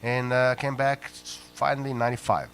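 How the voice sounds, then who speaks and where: A middle-aged man from Israel, a musician guest on a live radio show, talking in a halting way with many fillers. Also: mid-range voice, fairly even intonation, a bit bright, faint music behind.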